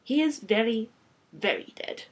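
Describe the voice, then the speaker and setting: A woman's voice: on the high side, very animated, unhurried, husky, exaggerated voice. A woman voicing a gallant, formal male vampire count with a put-on French accent, in a dramatic storybook reading. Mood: awkward, formal.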